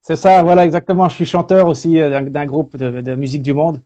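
Relaxed French man, mellow voice. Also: a little high, fast talker, lo-fi sound. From France. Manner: relaxed, lots of hesitation fillers. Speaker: guest, speaker and singer. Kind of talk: casual interview talk.

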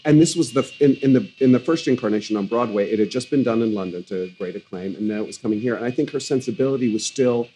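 A man's voice: mid-range voice, fast talker, few pauses, warm tone, faint music behind, rough recording. A male stage actor in an interview, talking thoughtfully with frequent restarts. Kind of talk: conversational interview.

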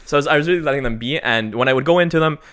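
A man's voice: a little high, very fast, a bit bright, a little hiss or hum. A young man chatting casually as a podcast guest about his beekeeping, rambling with restarts and casual swearing, a bit sniffly from a runny nose.

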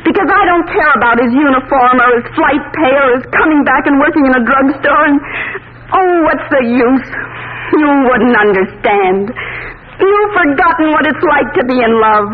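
A lovesick, emotional young daughter. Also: female voice, sits high, animated, normal speed. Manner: pleading and tearful. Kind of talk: 1940s radio acting. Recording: tinny old radio broadcast with faint hiss.

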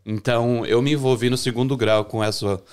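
A young Brazilian man with a Brazilian Portuguese accent, a chef chatting as a podcast guest in a relaxed way, often restarting his sentences. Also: medium pitch, fairly quick, clean tone. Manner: relaxed, talks with many restarts and self-corrections. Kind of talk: casual interview chat.

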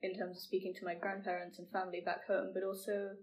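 A teenage girl from England speaks in a flat, steady tone. She sits some distance from the microphone, so she sounds a little removed. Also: medium pitch, fast talker. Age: teens. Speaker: podcast guest. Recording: distant from the mic.

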